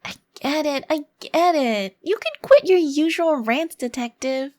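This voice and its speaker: Woman voicing a youthful vampire crime boss, higher-pitched, unhurried, condescending, theatrical, ASMR roleplay. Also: animated, darkish tone, dry recording, studio quality. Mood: indignant, defiant. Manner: theatrical, condescending, formal wording with drawn-out emphasis. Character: short, youthful-looking immortal vampire crime lord, smug and taunting. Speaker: audio roleplay performer.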